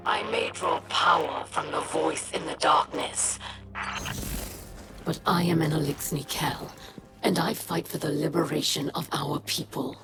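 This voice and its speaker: A low, deliberate alien warlord queen, commanding and bitter. She delivers formal game-cutscene speeches with proud menace, over loud music. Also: fairly even intonation, rough recording. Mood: scornful. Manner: slow, menacing, formal oratory. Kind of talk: dramatic video game cutscene acting.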